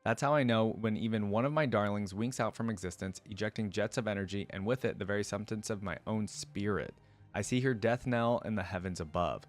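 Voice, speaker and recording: A man's voice: on the low side, brisk, natural voice. A man voicing a proud, vengeful celestial dragon in grandiose, florid storybook narration. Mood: grieving, bitter. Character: proud, vengeful celestial star-forging dragon held captive.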